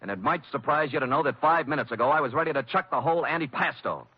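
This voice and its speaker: An actor playing a wry, hard-boiled private detective, with sardonic, clipped delivery in 1940s radio noir style. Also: male voice, a little high, fast talker, breathy edge. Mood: sardonic, forceful. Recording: dull old radio broadcast recording.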